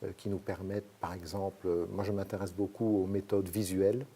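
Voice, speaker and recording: A man from Belgium, a sociologist explaining his research in measured, hesitant sentences. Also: sits low, little pitch movement, warm tone, husky. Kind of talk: academic interview answers.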